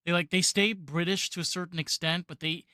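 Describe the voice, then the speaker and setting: Bright, dry-sounding New York host. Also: male voice, high-pitched, steady tone, fairly quick, dry recording. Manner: opinionated, blunt. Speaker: podcast host. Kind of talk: casual interview chat.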